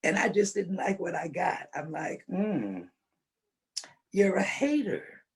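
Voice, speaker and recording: A woman's voice: lowish voice, sing-song, takes it slowly. An older woman, a veteran swing dancer and podcast guest, telling stories emphatically and repeating phrases to drive her point home. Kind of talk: animated conversational storytelling.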